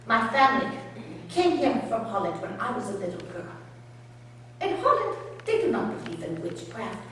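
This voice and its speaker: A woman's voice: mid-range voice, big pitch swings, normal speed, fairly bright tone. Woman playing Judith Varlett, scornful Dutch colonist. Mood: defiant. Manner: scornful, indignant, clipped non-native grammar. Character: defiant Dutch woman colonist accused of witchcraft. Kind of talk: storytelling performance in character. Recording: echoey room, distant mic, faint hum.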